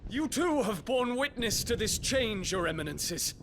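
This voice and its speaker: A man's voice: high voice, big pitch swings, unhurried, background noise, exaggerated voice. An actor playing a grave, noble young prince in a video game, speaking formally and solemnly as he confronts his emperor father. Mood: impassioned pleading. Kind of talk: dramatic video game voice acting.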